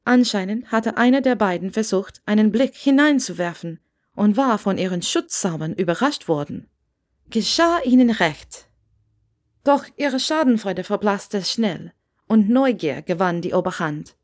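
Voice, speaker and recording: A woman's voice: medium pitch, expressive, a little slow, darkish tone. A woman reading a scripted story aloud as its narrator. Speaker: narrator reading fan fiction.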